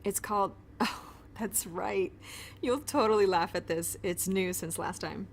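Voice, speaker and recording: A woman's voice: medium pitch, measured pace, a little hiss or hum, close to an everyday voice. An actress playing a friendly, awkward woman pediatrician in an audio drama, apologetic and often interrupting herself. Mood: explaining eagerly. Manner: chatty, awkward, self-interrupting. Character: friendly, flustered, apologetic woman pediatrician who shelters fugitives. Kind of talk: naturalistic audio drama dialogue.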